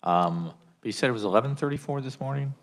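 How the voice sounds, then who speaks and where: A man's voice: medium pitch, expressive, fast talker. A man serving on a legislative committee, asking his question hesitantly and stammering. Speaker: committee member.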